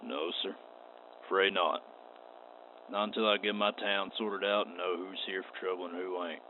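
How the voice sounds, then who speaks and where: An actor playing a stern, wary space western marshal in a radio play, with a terse, folksy drawl. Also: male voice, low voice, steady tone, moderate pace, natural voice. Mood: wary, firm. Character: gruff, wary, stern male frontier marshal. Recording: tinny band-limited audio with clear noise.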